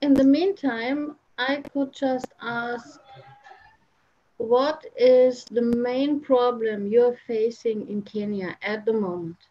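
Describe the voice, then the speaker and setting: A woman's voice: on the high side, steady tone, slow, dark tone, a little hiss or hum. A woman hosting an online panel, speaking casually over a video call. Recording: muffled internet video call.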